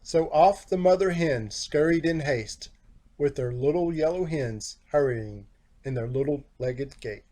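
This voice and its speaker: A man reading his own children's storybook aloud in an expressive, sing-song rhyming delivery. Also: on the high side, unhurried. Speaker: author narrating own storybook.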